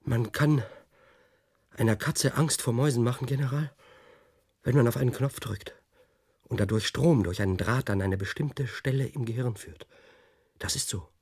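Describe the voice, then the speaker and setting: Troubled, brooding physicist in a radio play. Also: male voice, mid-range voice, moderate pace, long pauses, a little mellow, breathy edge. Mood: anguished, confused. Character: troubled male physicist, haunted and brooding, lecturing on particles. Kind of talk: German radio play acting.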